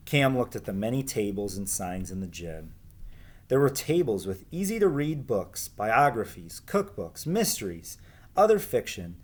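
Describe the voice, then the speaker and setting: A man reading a children's book aloud as a teacher would, in an expressive storytelling style with emphatic dialogue. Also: fairly low pitch.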